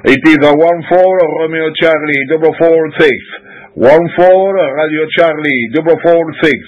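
A man's voice: fairly high pitch, measured pace, muffled recording. A man from France working a citizens band radio, reading out call signs with many hesitant fillers. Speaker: CB radio operator. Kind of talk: radio calling procedure.

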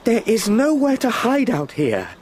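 High-pitched, unnerved young English gentleman. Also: wildly swooping pitch, unhurried, slight background noise, exaggerated voice. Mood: alarmed, pleading. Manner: well-mannered, formal, dramatic. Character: polite, unnerved younger male London solicitor. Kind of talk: radio play acting.

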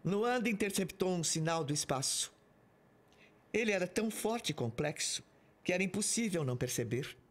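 An actor playing a stern, authoritative squad commander in an audio drama, speaking firmly. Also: male voice, high voice, expressive, normal speed. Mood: grave. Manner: firm, authoritative.

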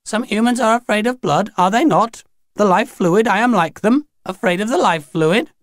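A man's voice: high-pitched, animated, moderate pace, no echo, clean studio sound, pitched above the performer's own voice. A man voicing an alien machine that poses as a stern old lady, with stilted, imperious, oddly phrased speech, in an audiobook. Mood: pleading, unstrained. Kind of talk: audiobook character voice.

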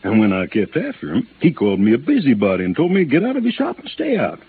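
An actor playing an exasperated, worried insurance manager in old radio drama style. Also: male voice, mid-range voice, wildly swooping pitch, fast talker. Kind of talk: 1950s radio drama acting. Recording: narrow, noisy old radio recording.

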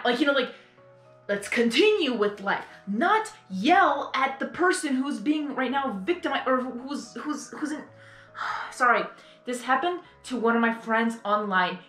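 A young adult woman giving casual reaction commentary in an earnest, halting, repetitive way. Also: a little high, fairly bright tone. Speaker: reaction video host. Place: small bedroom, indoors. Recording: roomy bedroom echo.

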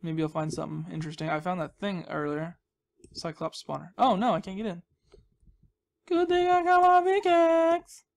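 A man's voice: high-pitched, normal speed, gravelly. A young man giving casual, rambling commentary as he hosts a gaming video, with a goofy, self-deprecating, mock-dramatic manner.

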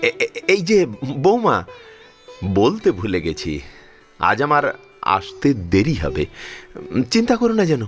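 A man's voice: a little high, huge pitch swings, measured pace, pauses often, soft background music. An actor playing an affectionate, fussing father-in-law of sixty-five in a Bengali audio drama, emphatic and repeating his words as he scolds protectively. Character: affectionate, fussing 65-year-old father-in-law, devout household elder.

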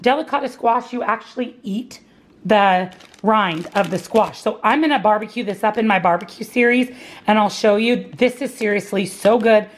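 A woman's voice: mid-range voice, moderate pace. A woman vlogger, married and working, cheerfully walking through her grocery haul and weekly meal plan, gushing and repeating words for emphasis. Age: adult, married, working. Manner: upbeat, gushing, repeats "love, love, love".

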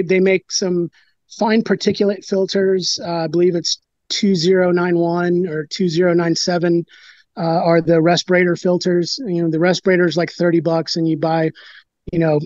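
A brewer in his thirties, giving an interview over a video call and talking in a halting way with many fillers and restarts. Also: sits high, darkish tone, dry recording. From United States. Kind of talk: casual interview talk. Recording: dry, dull lo-fi video call.

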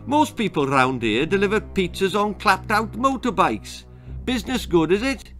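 A man's voice: sits high, big pitch swings, moderate pace, fairly bright tone, faint music behind, pitched above the performer's own voice. A man voicing a cheeky, nosy, chattering Welsh boy in a children's storybook, full of questions. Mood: eager, cheeky.

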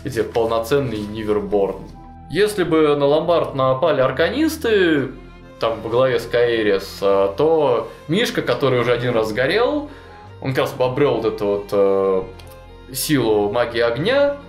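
A young man in a suit jacket casually telling a long story, full of fillers, seated in a studio. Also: medium pitch. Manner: animated, many fillers like "вот" and "ну". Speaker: storyteller guest. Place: studio with chalkboard wall. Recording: roomy studio with faint music.

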